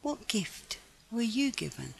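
A woman reading a scripted guided meditation in a gentle, measured, instructive manner. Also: on the high side, sing-song, vocal fry, slightly rough.

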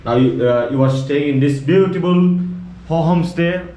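A man's voice: a little high, moderate pace, dark tone. A young man from Sikkim vlogging his travels in a playful, exclaiming way, in broken English mixed with Nepali words, recorded on an action camera in a car and a hotel room. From Sikkim, India. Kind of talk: excited travel vlog. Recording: noisy outdoor action-cam recording.

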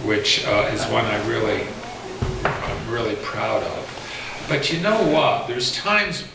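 A middle-aged man performing as a poet at a live reading, speaking with deliberate, theatrical phrasing. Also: lowish voice, vocal fry. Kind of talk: theatrical live poetry recital with spoken introduction. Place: small indoor performance space. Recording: echoey, noisy room, distant live pickup.

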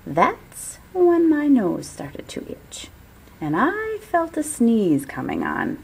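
A woman's voice: fairly high pitch, wildly swooping pitch, slow, vocal fry, a little mellow, slightly rough, heavily acted, rough recording. A woman voicing a self-justifying, folksy wolf in a children's storybook, telling his side in a mock-innocent, theatrical way. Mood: mock-innocent, chatty. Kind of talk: children's storybook read-aloud.

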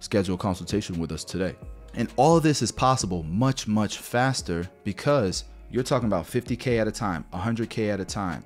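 A man in his thirties with a lowish voice talks straight to camera through a close podcast mic. He explains money matters bluntly and keeps calling his viewers "guys". Soft music plays faintly underneath. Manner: direct, blunt, repeats "guys" and "right". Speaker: barber business mentor. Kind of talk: whiteboard finance lesson to camera. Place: small home studio indoors. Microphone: podcast mic near mouth.

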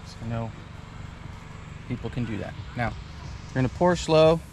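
A man in his thirties giving a relaxed, chatty step-by-step demonstration outdoors at a campsite under a canopy tent. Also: mid-range voice, moderate pace, gravelly, background noise. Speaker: vlogger. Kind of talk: casual how-to demo. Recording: background music under voice. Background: music.

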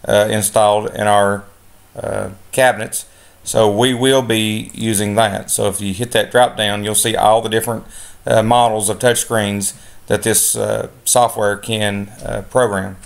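Guy walking through software setup, low flat voice, lots of uhs. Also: unhurried, vocal fry. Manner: relaxed, many "uh" fillers, step-by-step explaining. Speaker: instructor. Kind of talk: screen-recorded software tutorial. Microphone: voice-over on a screen recording.

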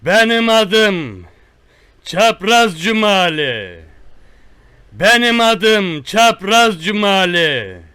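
Prank-call menace: man playing a boastful country tough guy, high bright voice, unhurried threats. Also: big pitch swings, clear, resonant tone, no echo, full character voice. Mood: menacing. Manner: slow drawn-out threats, repetitive. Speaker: prank caller. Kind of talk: one-sided prank call.

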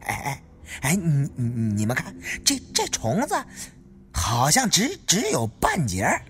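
A man voicing a flustered, curious male tomb raider with a halting stammer in an audiobook. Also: fairly high pitch, huge pitch swings, fairly bright tone, heavily acted. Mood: puzzled.

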